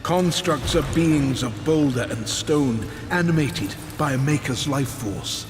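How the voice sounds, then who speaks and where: Tired old mentor in a fantasy game, slow n solemn, echoing hall, music behind. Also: male voice, mid-range voice, animated. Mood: solemn, explaining. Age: older. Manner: solemn, measured. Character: weary old male Maker, solemn mentor. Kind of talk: video game character acting. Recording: long reverb, music behind.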